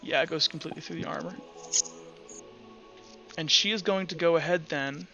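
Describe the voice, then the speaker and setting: High, bright-voiced male game master. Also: dull audio, faint music behind. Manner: improvised, halting, repeats words while thinking aloud. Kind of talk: narrating and refereeing a tabletop roleplaying game.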